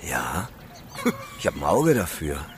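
A man's voice: a bass voice, sing-song, a little slow, noisy background, exaggerated voice. A man playing a dry, watchful photographer in a radio drama, speaking in terse but polite lines. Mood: wary, wry.

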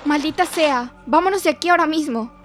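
A woman playing a brash, sceptical young ghost debunker, bossy and forceful, in a horror audio drama. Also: high-pitched, fairly quick, faint music behind, lightly acted. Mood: alarmed.

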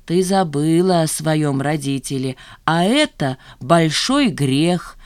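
A woman voicing a sly, self-righteous old father with a slow, drawling, needling delivery in an audiobook. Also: fairly low pitch, expressive, no room sound, studio quality. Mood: aggrieved, smug. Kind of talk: audiobook character dialogue.

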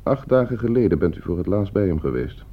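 An actor playing a calm, calculating schoolteacher in a radio play, speaking with polite, insinuating control. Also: male voice, sits low, fast talker. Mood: calm, menacing. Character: calm, calculating male schoolteacher, polite but menacing manipulator. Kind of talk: Dutch radio play acting. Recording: muffled old radio play recording.

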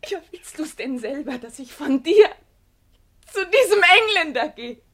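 A proud, wounded young gentlewoman in a radio drama. Her high voice swings widely in pitch, with bitter, volatile and theatrical delivery. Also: very animated, a little slow, exaggerated voice. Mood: pleading. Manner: theatrical, emotionally charged. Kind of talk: German radio drama acting.

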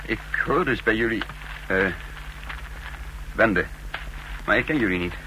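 A low male voice a bewildered fugitive who has lost his memory. He is brooding and halting, in the manner of suspenseful radio drama, on a slightly noisy, humming old recording. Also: expressive. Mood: uneasy, questioning. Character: bewildered amnesiac man, escaped condemned prisoner, telling his story. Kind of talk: radio drama acting, brooding first-person narration.

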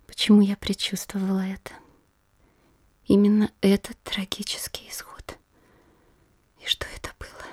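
An actress playing a grave, troubled noblewoman in an audio drama, reading aloud in a hushed, restrained way. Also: medium pitch, husky, no room sound. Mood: anguished, stunned.

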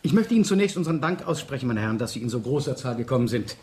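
An actor playing a courteous police superintendent in a 1970s radio crime drama, formal and brisk but awkward on delicate matters. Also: male voice, mid-range voice, animated, a little mellow, slight background noise, natural voice. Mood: brisk, welcoming.

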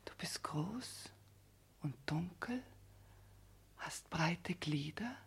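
An actress playing a naive, tender young bride in a 1960s German radio drama, intimate and often breathy. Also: female voice, low-pitched, expressive, very slow, full character voice. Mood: dreamy.

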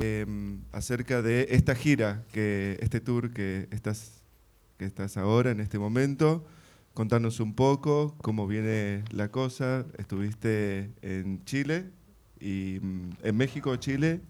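A man's voice: mid-range voice, a little mellow. A man with an Argentine Spanish accent hosting a live radio interview, feeling his way through his questions.

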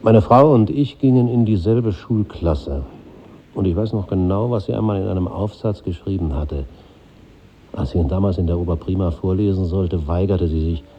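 Actor as weary married man, very low voice, measured, reflective, 1950s radio drama, dull old recording. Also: dark tone, slight background noise, natural voice. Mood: resigned, reflective. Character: weary, resigned married man recalling his wife.

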